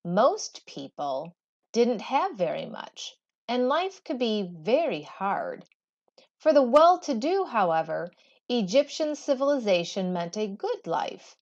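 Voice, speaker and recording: A woman teacher reading a textbook aloud for schoolchildren in an expressive, deliberate way, with storybook-like phrasing and pauses. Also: fairly high pitch, muffled recording.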